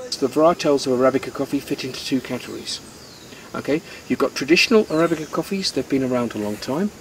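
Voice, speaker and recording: A man's voice: mid-range voice, recorded from a distance, rough recording. A man guiding a tour of his coffee farm, patiently explaining step by step with the odd filler word, recorded outdoors. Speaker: coffee farm owner and guide.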